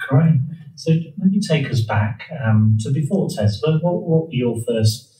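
Podcast host heard from across a roomy space. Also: male voice, medium pitch, lively intonation, a little slow, warm tone. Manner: polite, welcoming. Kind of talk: scripted intro then interview questions. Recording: roomy, distant mic with faint music.

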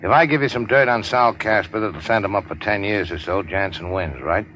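A deep-voiced, cynical tough guy of the rackets , played by a male actor, clipped and dryly wry in the manner of 1940s radio crime drama. The sound is a muffled vintage broadcast with light noise. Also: fairly quick, close to an everyday voice. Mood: curt, commanding. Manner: terse, wry, hard-boiled. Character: cool, cynical, tough-talking racketeer working for a crime boss. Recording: dull, noisy 1940s radio recording.

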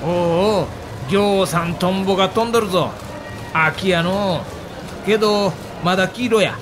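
A male actor voices a folksy veteran ballplayer in an audio documentary drama. He speaks earthy Kansai dialect in a high, good-humoured, conversational voice. Faint noise sits behind him. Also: normal speed, natural voice. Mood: musing, joking. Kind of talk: acted dialogue in an audio documentary.

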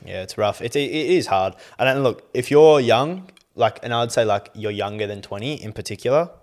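A man's voice: medium pitch, fairly quick, darkish tone. A man, rambling in casual podcast chat, full of fillers and swearing. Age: 20s. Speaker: podcast co-host.

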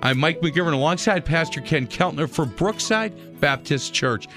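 A man's voice: on the high side, animated, normal speed, over music. A man hosting a sports radio talk show, upbeat and jokey with his guests. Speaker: radio host.

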